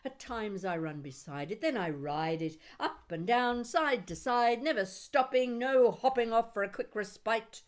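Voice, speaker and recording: A woman reading her own poetry aloud in an expressive spoken-word style, with deliberate, dramatic phrasing and wry humour. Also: on the high side. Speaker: poet reading own work.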